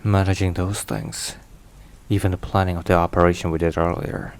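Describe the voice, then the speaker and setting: A man playing a weary, caring mafia boss boyfriend in an ASMR roleplay, hushed and sighing between phrases. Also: deep voice, level tone, moderate pace, clear, resonant tone, lightly acted. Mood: weary, confiding.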